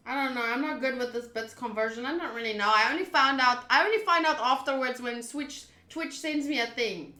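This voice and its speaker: Bright high-voiced playful woman streamer. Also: reverberant. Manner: playful, animated, jokey. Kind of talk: casual livestream reaction.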